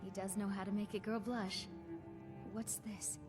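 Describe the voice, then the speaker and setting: A woman's voice: medium pitch, flat delivery, unhurried, lightly acted. An actress voicing a proud, scornful young village woman in a fantasy video game, with a haughty manner. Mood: scornful, indignant. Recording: game dialogue under clear music.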